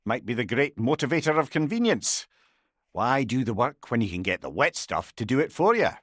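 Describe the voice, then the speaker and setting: A man's voice: medium pitch, normal speed, dry recording, studio quality. A man playing a witty old talking severed head, a wise counsellor with a Scottish accent and an eloquent, wry manner in a video game. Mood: wry, teasing. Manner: wry, eloquent, animated storytelling. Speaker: companion character in an action game. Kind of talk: video game character voice lines.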